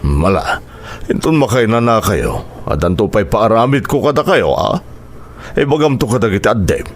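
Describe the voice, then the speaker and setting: A man's voice: on the low side, sing-song, normal speed. A man playing a hardworking farmer father, firm but affectionate, in an Ilocano radio drama. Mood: firm, fatherly.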